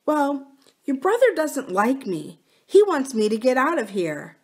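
A woman voicing a sweetly pleading, manipulative young woman in an audiobook, with soft, drawn-out phrases. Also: high-pitched, sing-song, pitched above the performer's own voice. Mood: plaintive. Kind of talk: audiobook character dialogue.

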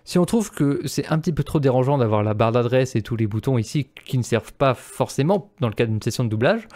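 A man's voice: medium pitch, darkish tone. A man presenting an instructional screencast as a voice-over. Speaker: tutorial presenter.

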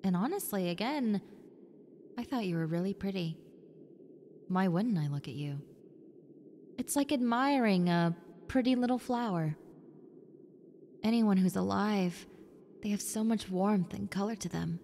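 A woman voicing a friendly, needy young female ghost in an intimate roleplay, softly coaxing the listener with hesitant pauses. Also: mid-range voice, expressive. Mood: coaxing, reassuring. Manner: soft, coaxing, hesitant pauses, sometimes near whisper. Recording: long reverb, clear background noise and ambience.

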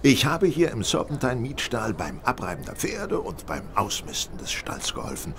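An actor playing a shrewd, superior, world-weary detective in a German audio drama, sardonic and theatrical. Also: male voice, fairly low pitch, sing-song, normal speed, vocal fry, slight background noise. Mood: smug. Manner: sardonic, theatrical, self-assured.

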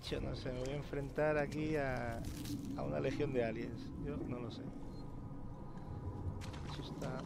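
Spanish gamer man over loud game noise. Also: on the high side. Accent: Spain. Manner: colloquial, sweary. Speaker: gamer, channel host. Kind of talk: casual video game commentary.

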